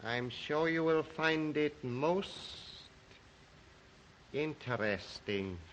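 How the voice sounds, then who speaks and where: Creepy polite count, slow n suave, bright forceful voice, old movie melodrama. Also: male voice, fairly high pitch, lively intonation, highs cut off, a little hiss or hum, heavily acted. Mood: suave, veiled menace. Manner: formal, suave, deliberate. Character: sinister, courteous aristocratic count who hosts guests. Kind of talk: 1930s film melodrama acting.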